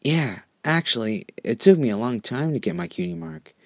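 A man's voice: mid-range voice, big pitch swings, normal speed, no echo, clean studio sound, pitched above the performer's own voice. A man voicing an easygoing, cheeky female unicorn in a casual, breezy way for a story reading. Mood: breezy, teasing.

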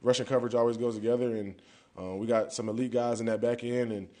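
Mellow-voiced young man, casual podium talk. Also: mid-range voice, level tone, quick pace. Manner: casual, conversational, drops "man" often. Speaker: football player. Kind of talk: post-game press conference answers. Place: press conference room, indoors. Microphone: podium mic in front.

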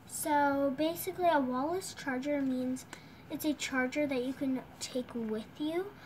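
Young girl vlogger, high-pitched and unhurried, casual and self-narrating, recorded on a device a few feet off in a quiet room. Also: slight background noise, low-quality recording. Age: child, around 10 to 12. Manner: chatty, unscripted, self-talking while tying a headscarf. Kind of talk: casual home tutorial vlog. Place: small bedroom indoors. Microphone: phone or tablet camera set across the room.

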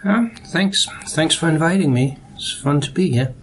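An older man from Denmark, answering interview questions in a measured way with dry humor and frequent hesitations. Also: fairly high pitch, slightly rough. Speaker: guest. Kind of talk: interview conversation.

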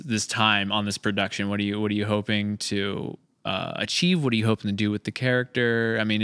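Clear-voiced man hosting a podcast. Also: on the low side, fairly bright tone. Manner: jokey, playful. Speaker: podcast host. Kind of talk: casual interview chat. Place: large indoor studio room. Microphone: podcast microphone on a boom arm.

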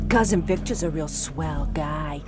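A woman's voice: sits low, sing-song, slow pace, over loud music, exaggerated voice. A woman playing a sly, falsely sweet gangster's girlfriend in a noir radio drama. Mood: mocking, coy.

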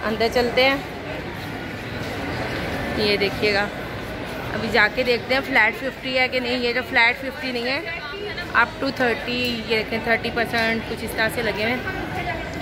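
A woman's voice: on the high side, moderate pace, long pauses. A woman with a Pakistani accent casually narrating a vlog to her viewers in appreciative, conversational running commentary, recorded on a handheld phone in a shopping mall. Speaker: family vlogger. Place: busy indoor shopping mall. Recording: very noisy, crowded mall ambience. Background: loud crowd and mall noise.

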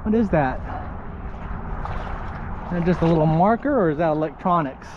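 A man's voice: on the high side, expressive, normal speed. A man filming himself on a kayak outdoors, chatting casually to himself and to the fish in short asides as he fishes. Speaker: kayak fishing vlogger. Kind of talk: casual narration while fishing. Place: on a kayak outdoors on shallow water. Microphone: action camera. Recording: dull action-camera audio with wind and water noise.

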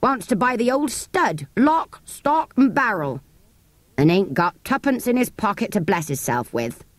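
A woman voicing a gruff, mocking, bullying working-class English horse dealer in a storybook, drawing out his words. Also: mid-range voice, sing-song, measured pace, dry recording, pitched above the performer's own voice.

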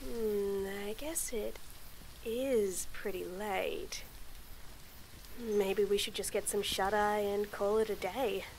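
A young woman voicing a shy, nervous tomboy friend in a romantic roleplay audio, stammering, giggling and speaking softly. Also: mid-range voice, a little hiss or hum. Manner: stammering, giggly, soft-spoken. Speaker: voice actor.

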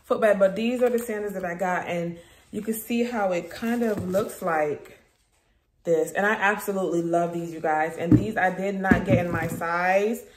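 A woman's voice: lowish voice, normal speed. A woman, chatting informally to viewers in a home vlog and often repeating her phrases. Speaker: lifestyle vlogger. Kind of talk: casual vlog chat to viewers. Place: rooms at home, indoors. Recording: roomy echo, background noise.

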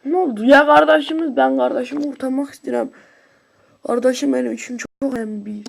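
High-pitched, excitable, husky gaming commentator. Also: quick pace. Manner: excitable, shouting and whooping, slangy. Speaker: gaming video creator. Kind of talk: excited casual gameplay commentary.

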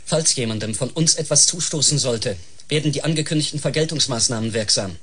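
An actor playing a cold, commanding spaceship captain in a science-fiction radio drama, clipped and imperious. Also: male voice, medium pitch, fairly quick, a bit bright, breathy edge. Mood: cold, threatening.

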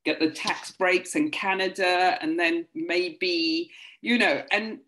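A woman speaking as a producer on a roundtable video call, measured and collaborative. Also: on the low side, fairly even intonation, a bit bright, rough recording. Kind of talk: roundtable discussion. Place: home room with bookshelves. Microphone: laptop webcam video call.

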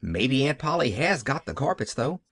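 A man's voice: medium pitch, very animated, normal speed, fairly bright tone, breathy edge, no room sound, clean studio sound, heavily acted. A man voicing a glad little orphan girl who rambles breathlessly and eagerly in a storybook reading. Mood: eager chatter.

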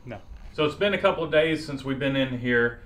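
A man's voice: medium pitch, fairly quick, fairly bright tone, low-quality recording. A man in his thirties, a mechanic host chatting casually as he rebuilds an engine in his garage workshop. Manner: easygoing, talks while working with his hands.

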